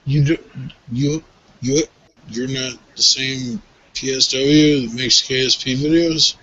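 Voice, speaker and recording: A man's voice: medium pitch, fairly even intonation, takes it slowly, fairly bright tone, highs cut off, slight background noise. A man on an online panel, talking in an encouraging, easygoing way. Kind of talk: casual group chat.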